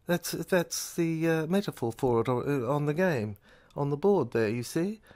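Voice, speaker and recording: A speaker in an amateur audio drama, explaining things earnestly in a halting, stammering way with repeated phrases. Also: mid-range voice, expressive, darkish tone.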